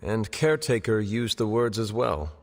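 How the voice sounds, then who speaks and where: Low-voiced, grave ancient warrior. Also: male voice, animated, normal speed. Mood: grave, questioning. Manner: formal, terse, few contractions. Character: grave, reserved ancient warrior, formal and questioning. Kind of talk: game cutscene dialogue.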